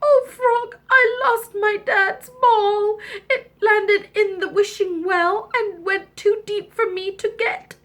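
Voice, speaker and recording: A woman's voice: very high voice, lively intonation, measured pace, fairly bright tone, voice pushed up, heavily acted. A woman voicing a spoiled, emotional young storybook princess with whiny, dramatic exclamations. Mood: tearful, distraught.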